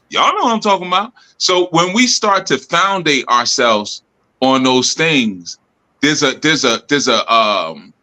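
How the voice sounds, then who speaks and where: Bright-voiced man, loose teaching talk. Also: high-pitched, low-quality recording. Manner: loose, laughing, lots of "you know" and "man". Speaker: spiritual group leader.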